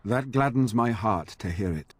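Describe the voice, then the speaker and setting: An actor playing an earnest, grave knight in a fantasy game, speaking in a formal, measured, courteous way. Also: male voice, fairly low pitch, animated, husky, no room sound. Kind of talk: scripted fantasy game dialogue.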